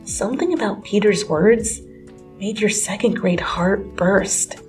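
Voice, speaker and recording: A woman's voice: medium pitch, a little slow, low-quality recording. A woman reading a story aloud as scripted, dramatic second-person narration. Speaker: fan fiction reader. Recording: music under the voice.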